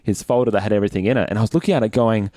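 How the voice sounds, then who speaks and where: A man with an Australian accent in casual podcast chat, animated and given to acting out reactions. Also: on the low side, racing, no room sound, studio quality. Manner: animated, acts out quoted reactions. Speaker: podcast host and player.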